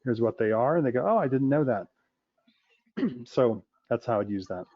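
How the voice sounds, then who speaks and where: A man co-hosting a question-and-answer call, explaining software in a practical, step-by-step way. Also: mid-range voice, animated, normal speed, no echo. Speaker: co-host. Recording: dull, dry lo-fi audio.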